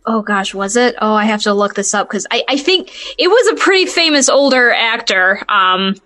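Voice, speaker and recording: A woman's voice: fairly high pitch, lively intonation, moderate pace, sharp tone. A woman co-hosting a podcast in casual chat, often chuckling as she talks. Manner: chuckles often while talking. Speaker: podcast co-host.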